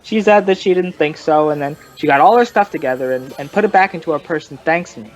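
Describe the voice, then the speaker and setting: An actor playing a folksy, rambling male informant who tells his story in colloquial, drawn-out fashion, in old-time radio crime drama style. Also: a little high, lightly acted, rough recording.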